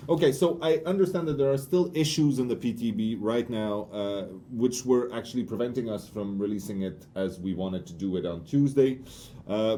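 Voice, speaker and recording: A man's voice: lowish voice, mellow. A man hosting a casual game-studio livestream, hesitant with many fillers and restarts. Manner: hesitant, lots of uhs and restarts. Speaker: community stream host. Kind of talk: casual livestream news chat.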